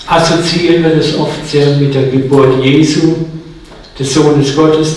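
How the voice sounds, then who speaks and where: A man's voice: fairly high pitch, slight background noise. Echoey sixties male preacher in a hall. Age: 60s. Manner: casual, personal, humorous preaching. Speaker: guest preacher. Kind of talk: sermon. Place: church hall. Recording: echoey hall, mic from far off.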